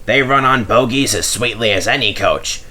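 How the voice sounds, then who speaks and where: A man's voice: mid-range voice, moderate pace, bright, forceful tone. A man voicing a small, touchy engine in a children's story, blunt and grumbling. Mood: boastful. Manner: grumpy, blunt.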